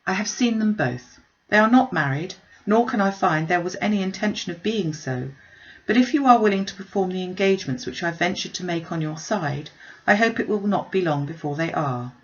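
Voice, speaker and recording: A woman's voice: fairly low pitch, animated, fairly quick. A woman narrating an audiobook, reading a classic novel aloud. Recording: slightly dull, muffled recording.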